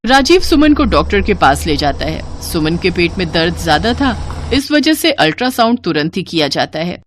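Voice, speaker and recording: A woman's voice: fairly low pitch, big pitch swings, normal speed, slight background noise. A woman narrator reading a scripted moral story.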